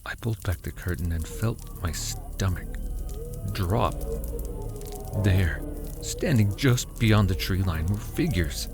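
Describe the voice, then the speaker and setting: A man acts out a weary family man recounting a frightening cabin getaway for an audio drama. His delivery is slow and heavy with pauses, the pitch moving widely as he narrates in a slightly low voice over prominent music. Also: animated, exaggerated voice. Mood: tense, frightened. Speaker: narrator and actor.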